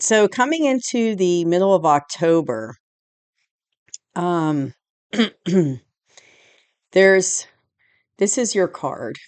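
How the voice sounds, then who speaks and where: A hoarse woman recovering from a cold, giving a rambling, casual tarot reading indoors at home, full of "you know" and "like". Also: lowish voice, a little mellow, no echo. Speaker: tarot reader. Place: indoors at home, dim room lit by a candle and lamp.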